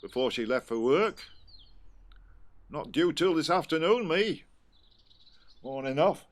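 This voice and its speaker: A man's voice: on the high side, lively intonation, slow pace. A man with an English accent playing a grumbling, plain-spoken homespun philosopher in an audio play, talking colloquially. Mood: nagging. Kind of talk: naturalistic audio play acting.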